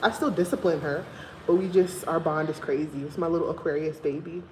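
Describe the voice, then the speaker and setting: A woman's voice: low-pitched, brisk, a little hiss or hum, low-quality recording. A young woman from Liberia with a Liberian accent, chatting casually and emphatically to her viewers on a livestream. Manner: animated, emphatic storytelling. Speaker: vlogger.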